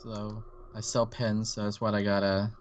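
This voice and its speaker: A man's voice: on the low side, level tone, moderate pace, slight background noise. A man from Dallas, a guest artist chatting casually on a live drawing stream, rambling and swearing now and then. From Dallas, Texas.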